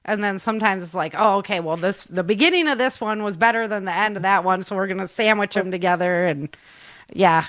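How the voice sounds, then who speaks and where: A woman's voice: medium pitch, fairly quick, a bit bright, no room sound. Woman, casual podcast host, chatty, conversational, dry recording. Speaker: audio drama host and editor.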